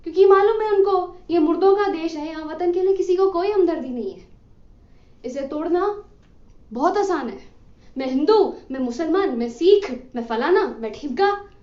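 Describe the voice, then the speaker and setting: A muffled, echoey room recording of a very high voice: a woman playing a condemned revolutionary man in a stage monologue. He is bitter and scornful, declaiming accusations and sarcastic commands at an apathetic crowd. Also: expressive, normal speed, warm tone. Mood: angry. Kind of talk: theatrical dramatic monologue.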